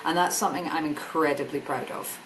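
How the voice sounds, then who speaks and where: Low-voiced Scottish woman, earnest. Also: a little fast, slight background noise, rough recording. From Scotland. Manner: earnest, enthusiastic, repeats words while thinking. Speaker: guest, energy business director. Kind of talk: podcast interview.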